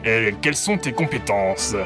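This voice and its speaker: A man's voice: lowish voice, animated, normal speed, natural voice. A man playing a bumbling, hesitant and easily distracted pirate captain in a comic audio drama. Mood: puzzled. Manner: hesitant, naive, interjecting. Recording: loud music behind the voice.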